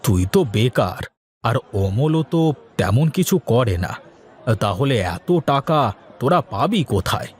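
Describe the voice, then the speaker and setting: A man's voice: medium pitch, big pitch swings, a little slow. A man playing a generous, practical middle-aged village shopkeeper in a moral-story cartoon, talking in a colloquial, avuncular way.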